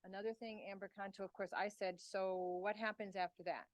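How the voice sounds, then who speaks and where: A woman's voice: medium pitch, little pitch movement, fairly quick, no echo. A woman from the extension staff, explaining a grant proposal to a county committee in a conversational way and answering questions. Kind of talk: committee meeting presentation.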